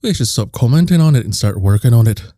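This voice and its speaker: A man's voice: medium pitch, expressive, fast talker, dark tone, no echo, studio quality, natural voice. A man playing an exasperated but focused co-host in scripted casual audio drama banter. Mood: mildly exasperated. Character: exasperated, focused male co-host keeping things on track.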